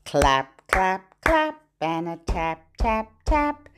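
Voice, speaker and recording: A middle-aged or older woman hosting a children's podcast, giving scripted, expressive, instructional narration aimed at parents and babies. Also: medium pitch, drawn-out words, clear, resonant tone.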